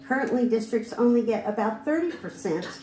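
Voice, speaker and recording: A woman's voice: fairly high pitch, animated, fairly quick, dark tone. A woman, a former school district director, reading prepared public testimony firmly and asking pointed questions. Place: hearing room. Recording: echoey room with faint background noise.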